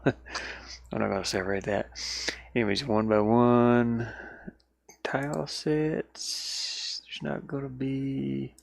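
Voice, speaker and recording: A man's voice: mid-range voice, creaky, dry recording. A man in casual solo livestream chat, rambling and muttering as he thinks aloud while working, recorded inside a van. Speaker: livestreamer and indie game developer.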